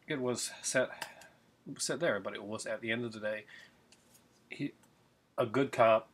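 Husky, low-voiced middle-aged man. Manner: rambling, many fillers and restarts. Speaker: collector unboxing a Blu-ray. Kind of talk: casual unboxing vlog. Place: small home room, indoors. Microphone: webcam at desk.

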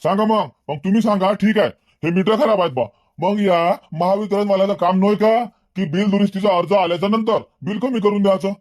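A man's voice: high voice, a little fast, hardly any pauses, darkish tone, close to an everyday voice. A man voicing a talkative, level-headed villager in a comedy cartoon, earnest and wordy, with a Varhadi Marathi accent. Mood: aggrieved, exasperated. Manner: earnest, wordy, repeats himself.

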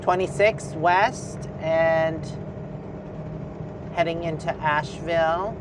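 A woman's voice: deep voice, steady tone, slow. A middle-aged woman chatting casually to the camera while driving her RV, talking to herself about the road. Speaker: RV travel vlogger. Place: inside a moving RV cab. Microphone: dash or handheld camera in vehicle. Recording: road noise behind.

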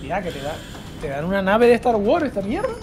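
A Canarian man in his thirties streaming a game, joking and teasing his friends in quick banter over a headset. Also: sits high, wildly swooping pitch. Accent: Canarian Spanish. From Canary Islands. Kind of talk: casual chat while gaming. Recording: game music behind.

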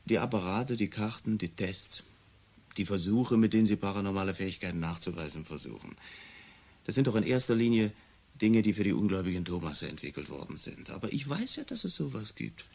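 An actor in a radio drama playing a stubborn, sceptical small-time clairvoyant who talks in a dry, terse, insistent way. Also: male voice, on the low side, big pitch swings, fairly quick, creaky, a little mellow, heavily acted. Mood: dry, dismissive.

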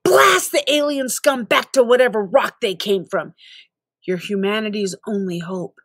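A woman's voice: medium pitch, a bit bright, no room sound, clean studio sound. A woman voicing a stern, single-minded male drill sergeant from a storybook, giving emphatic, repetitive commands. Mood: stern speechifying. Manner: clipped, emphatic, deliberate commands.

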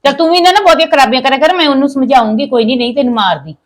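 A woman's voice: high-pitched, very fast, close to an everyday voice. A woman playing a married sister-in-law who coaxes, lectures and gives orders. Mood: soothing. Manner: coaxing, lecturing. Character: married sister-in-law, soothing yet bossy. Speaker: actor in a family skit.

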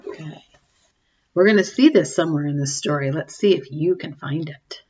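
A woman in her forties reading a picture book aloud to young children, expressively, pausing to ask them questions and comment. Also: sits low, a little mellow, muffled recording, slight background noise. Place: small home room, indoors. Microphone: webcam or laptop mic at desk distance.

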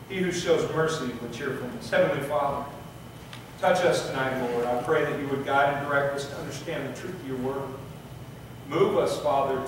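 A middle-aged man preaching from a pulpit in a church sanctuary, earnest and measured, reading scripture and praying. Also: on the high side, recorded from a distance, slight background noise. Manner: earnest, measured delivery with occasional slips and restarts. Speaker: pastor. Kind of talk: sermon with scripture reading and prayer. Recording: echoey sanctuary audio picked up from afar.

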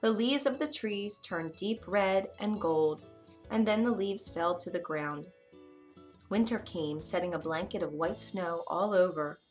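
A woman reading a scripted children's fairy tale in an expressive, deliberate storytelling manner. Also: fairly low pitch. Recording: music behind the narration. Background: music.

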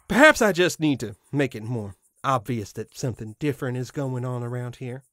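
A man's voice: mid-range voice, animated, moderate pace, bright, forceful tone, dry recording, studio quality. A man playing a proud, mischievous living scarecrow who guards a farm, musing in a theatrical, pompous way in an audio drama monologue. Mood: proud, scheming. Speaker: voice actor.

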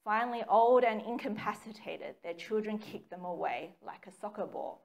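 A young woman reading a prepared talk in a measured way, recorded by a camera across a small meeting room. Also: medium pitch, gravelly. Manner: measured, reads from notes with occasional ums. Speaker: speaker giving a dharma talk. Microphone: far camera, no mic seen.

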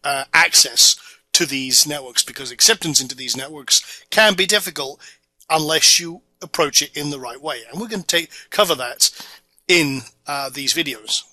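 A middle-aged man from the Orkney Islands with a Scottish accent narrates an online marketing course in a casual sales-pitch manner, with many fillers. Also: normal speed, bright, forceful tone, no room sound, poor audio. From Orkney Islands, Scotland. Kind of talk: narrated slideshow course video.

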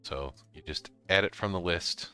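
A middle-aged man explaining software step by step in a casual, informal tutorial style. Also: low voice, moderate pace, smooth, soft background music. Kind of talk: casual screen-recorded walkthrough.

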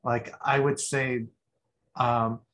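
A man's voice: mid-range voice, flat delivery, takes it slowly, a bit bright. A man giving rambling, thinking-aloud feedback as a guest critic at a design review over a video call. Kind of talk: spoken feedback on a student project.

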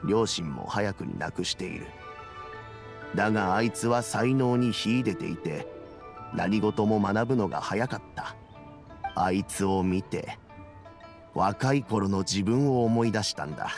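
A reflective old mentor from a video game. His low voice has a gravelly creak and he speaks in measured, old-fashioned phrases. Music plays clearly behind him. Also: expressive. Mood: calm, explanatory. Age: older. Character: aging, reflective male elder statesman and mentor. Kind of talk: Japanese game voice acting.

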